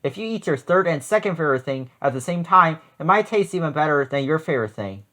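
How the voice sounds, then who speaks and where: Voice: medium pitch, lively intonation, quick pace, bright, forceful tone. A storybook reader voicing a confident, bossy female goat who leads the herd. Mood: confident, explaining. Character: Jaina, bold bossy female leader goat.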